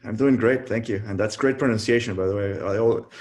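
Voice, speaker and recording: A man in his thirties from Costa Rica, telling his story in a relaxed way with many fillers on a video call. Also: on the low side, a little fast. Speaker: guest, tech founder. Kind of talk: casual virtual interview.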